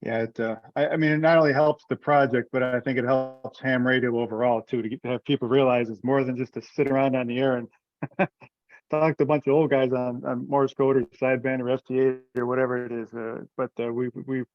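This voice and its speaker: Guy running an online meeting, kinda halting and rambly, dull lo-fi call sound, pretty dry. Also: mid-range voice, flat delivery, dry recording. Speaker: meeting chair. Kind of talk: informal group teleconference talk. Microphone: teleconference call. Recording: dull, lo-fi conference call audio.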